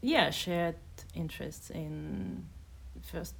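A woman poet and translator from Kazakhstan, speaking in a measured, hesitant way as she talks and reads. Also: deep voice, lively intonation, vocal fry. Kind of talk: casual conversation and poetry reading.

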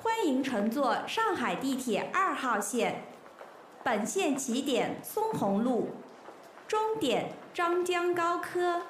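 High-pitched echoey female subway announcer. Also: sing-song. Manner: welcoming, measured. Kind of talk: formal scripted transit announcement. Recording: echoey, noisy public announcement recording.

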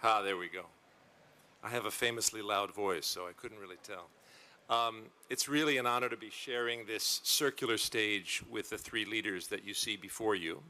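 A man's voice: lowish voice, moderate pace, bright, forceful tone. A man moderating a panel on a conference stage, speaking emphatically with rhetorical repetition. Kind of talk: formal panel introduction.

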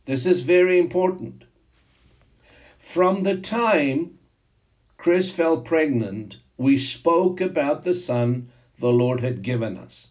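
An older man whose first language is Greek, preaching a sermon and telling personal testimony in a slow, emphatic way. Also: a little high, expressive, darkish tone, dull audio. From Zimbabwe.

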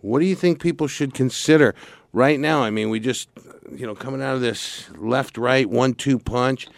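Guy from california hosting a radio show, rambly and halting, dry studio sound. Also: mid-range voice, no room sound. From Santa Barbara, California. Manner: halting, with many fillers and restarts. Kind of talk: casual radio talk show chat.

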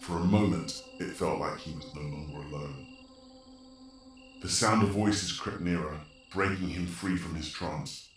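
A man's voice: low-pitched, a little slow, soft background music. A man performing grave, suspenseful scripted narration for a horror audio drama. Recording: heavily echoing, distant, faint night ambience.

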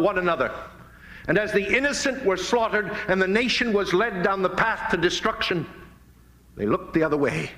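An American man preaching in a dramatic, deliberate oratorical style, with emphatic swells, long pauses and hushed phrase endings. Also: high-pitched. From United States. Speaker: pastor, guest speaker. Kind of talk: fiery sermon-like political speech to a live audience.

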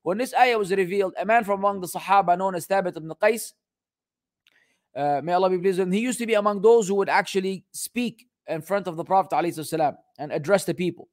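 Guy doing an islamic lesson, fairly high voice, quick talker, flips between arabic and english. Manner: halting English delivery, rapid fluent Arabic recitation. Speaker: Islamic teacher. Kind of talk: religious lesson reading Arabic text and translating into English.

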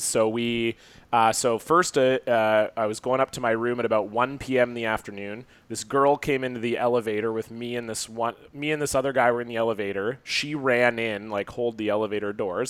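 A man's voice: mid-range voice, a little fast, fairly bright tone, clear, resonant tone, no echo. Casual man, close dry sound. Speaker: podcast co-host. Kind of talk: casual comedic chat and storytelling.

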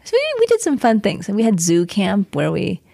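A woman from Southern California, a scholar and author, talking in a relaxed, thoughtful way with the odd um as an interview guest. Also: mid-range voice, brisk, creaky, dry recording, studio quality. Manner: relaxed, thoughtful, with filler ums. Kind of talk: interview conversation.

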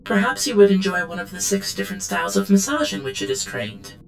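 Woman doing a servant robot girl, super formal n deferential, creaky voice, music underneath. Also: mid-range voice, big pitch swings, fast talker. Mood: compliant, formal. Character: obedient female android servant, guarded and self-effacing. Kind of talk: scripted audio drama acting.

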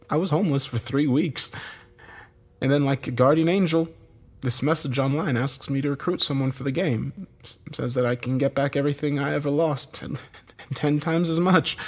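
A man's voice: fairly high pitch, slightly rough, pitched above the performer's own voice. A man voicing a desperate, bitter man in a dramatic story, agitated, urgent and given to swearing. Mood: rueful, confessing. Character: Josh, desperate bitter man, cornered game loser.

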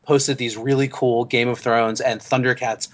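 A man's voice: mid-range voice, little pitch movement, normal speed. A man hosting a casual fan podcast, chatty and thinking aloud as he talks. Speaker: podcast host. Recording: slightly dull lo-fi audio.

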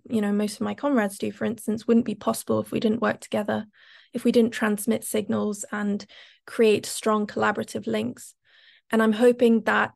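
A woman's voice: fairly high pitch, creaky, a little mellow. A young woman climate activist and author giving a reflective panel talk, with frequent fillers and restarts.

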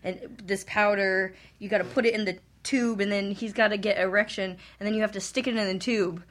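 A woman's voice: medium pitch, steady tone, brisk, fairly bright tone. A woman explaining things in a casual, profane, blunt and matter-of-fact way.

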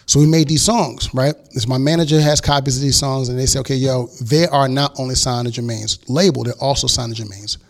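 Gravelly man telling a story. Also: mid-range voice, brisk, few pauses. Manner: animated storyteller acting out quotes. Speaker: guest record producer. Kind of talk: casual storytelling interview.

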